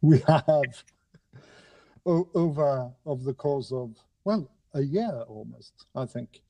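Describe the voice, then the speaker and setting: Gravelly, mellow male podcast host. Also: mid-range voice. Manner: courteous, formal wording, halting. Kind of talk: conversational podcast hosting. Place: remote conversation over a podcast app on a mobile. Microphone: mobile phone.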